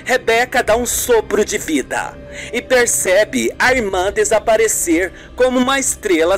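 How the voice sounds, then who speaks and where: A Brazilian narrator reads a scripted radio soap opera at a slow, measured pace. Music plays behind the voice. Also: medium pitch, fairly bright tone.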